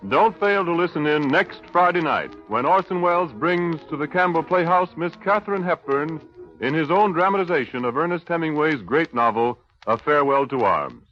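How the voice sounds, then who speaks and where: Old time radio announcer guy, formal and polished, slightly high voice, dull 1930s recording. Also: lively intonation, moderate pace, soft background music.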